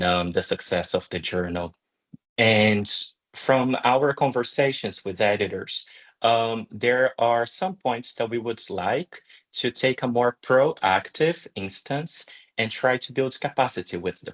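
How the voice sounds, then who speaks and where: A man with a fairly low voice leads an online webinar. He explains things in a measured lecture style, pausing hesitantly as he goes. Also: a bit bright. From Brazil. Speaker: webinar presenter, journal deputy editor. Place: online video call.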